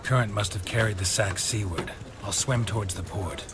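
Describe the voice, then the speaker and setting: An actor playing a weary, sardonic middle-aged monster hunter in a video game, with a low gravelly voice and deadpan delivery. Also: male voice, moderate pace, background noise, natural voice. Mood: dryly sardonic. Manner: deadpan, dry.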